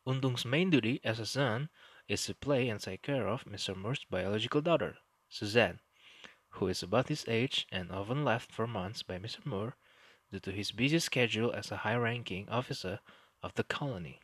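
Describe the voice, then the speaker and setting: A man's voice: lowish voice, normal speed, fairly bright tone, slightly rough, no echo. Indonesian-accented man hosting a history podcast. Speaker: podcast host. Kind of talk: solo history podcast narration.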